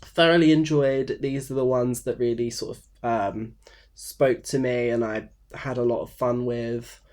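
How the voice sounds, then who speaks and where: A man in his twenties, croaky and blocked up from a cold, rambling casually to camera about books with many fillers and restarts. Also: mid-range voice, fairly even intonation, a little slow, a little mellow. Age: 20s. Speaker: book vlogger. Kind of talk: casual unscripted talk to camera. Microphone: phone camera.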